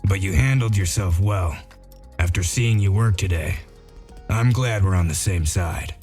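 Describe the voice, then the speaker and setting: A man playing a gruff, world-weary detective in a comic dub, laconic and sardonic. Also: low-pitched, measured pace, darkish tone, faint music behind. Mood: wry. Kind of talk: scripted comic-dub acting.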